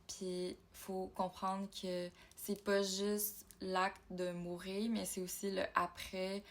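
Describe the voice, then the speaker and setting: A woman from Ontario, talking in a casual personal vlog, reflective and halting as she thinks aloud. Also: mid-range voice, flat delivery. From Ontario, Canada. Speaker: YouTuber.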